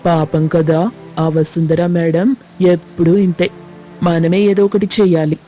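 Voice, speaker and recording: A woman's voice: fairly low pitch, flat delivery, a little slow, warm tone, soft background music, lightly acted. A woman voicing mischievous young schoolboys who bicker and plot a prank. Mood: scheming. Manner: conspiratorial, bickering. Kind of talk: cartoon voice acting.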